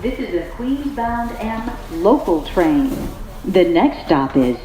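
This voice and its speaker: A woman reading scripted automated subway announcements in measured, segmented phrases over a train's speakers. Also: medium pitch, big pitch swings. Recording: echoey muffled train PA, loud vehicle noise.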